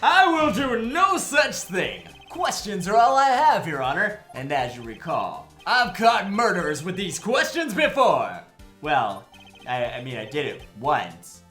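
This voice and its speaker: A woman's voice: on the low side, sing-song, unhurried, fairly bright tone, soft background music. A woman voicing a cheeky, dramatic male defense attorney, full of sassy joking asides, in a comedic game fandub. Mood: sheepish, defiant.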